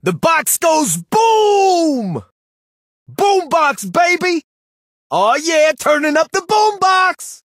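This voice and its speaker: A man's voice: extremely high pitch, very animated, very slow, a bit bright, no echo, studio quality, heavily acted. A young man voicing a cocky, hyped young brawler from a video game, brash and exclamatory in slangy short lines.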